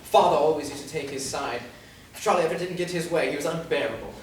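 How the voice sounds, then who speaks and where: A man's voice: on the high side, fast talker, close to an everyday voice. An actor playing a defensive, flustered upper-class man suspected of murder, theatrical and stammering, in a staged murder-mystery reading. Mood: polite, resentful. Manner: theatrical, stammering, old-fashioned genteel phrasing. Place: live staged reading in an echoey room. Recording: echoey room, distant mic, faint noise.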